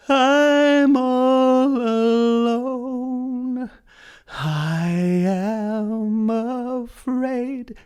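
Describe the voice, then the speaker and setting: Man singing as a lost, frightened son, high-pitched. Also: clear, resonant tone. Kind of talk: slow sung storybook lament.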